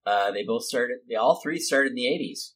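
A man talks as the host of a loose, casual conversation. He is playful and teasing, quick to get the giggles, in an ordinary mid-range voice. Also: mid-range voice, lively intonation, fairly bright tone, breathy edge. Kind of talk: casual chat.

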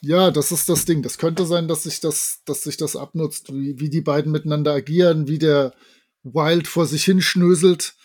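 A man's voice: fairly high pitch, steady tone, moderate pace, darkish tone. A man, co-hosting a podcast in casual chat with a playful, tongue-in-cheek manner. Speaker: podcast co-host. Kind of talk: casual chat reviewing an audio drama.

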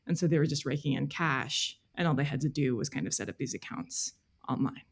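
A woman journalist speaking as an interview guest, explaining things in a measured, detailed, conversational way. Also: deep voice, creaky, slightly rough. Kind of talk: conversational interview, recounting reporting.

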